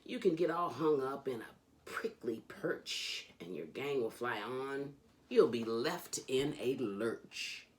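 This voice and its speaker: A woman hosting a children's read-along, reading a storybook aloud in an animated, sing-song storytelling manner. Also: extremely low pitch, slow pace, a little hiss or hum.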